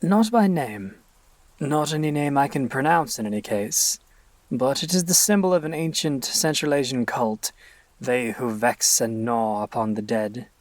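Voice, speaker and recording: A man's voice: mid-range voice, measured pace, dry recording, lightly acted. An actor playing a morbidly fascinated, learned grave robber in an audio drama, relishing his words and dropping into whispers. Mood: intrigued, dry.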